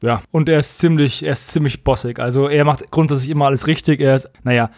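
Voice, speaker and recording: A man's voice: medium pitch, fairly even intonation, quick pace, darkish tone, no echo, studio quality. A man co-hosting a podcast in casual, colloquial talk, giving frank opinions. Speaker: podcast co-host.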